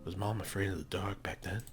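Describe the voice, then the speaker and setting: A man's voice: deep voice, steady tone, normal speed. A man hosting a game playthrough, mixing casual commentary with reading the story text aloud. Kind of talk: casual gaming commentary and read-aloud narration. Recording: music under the voice. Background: game music.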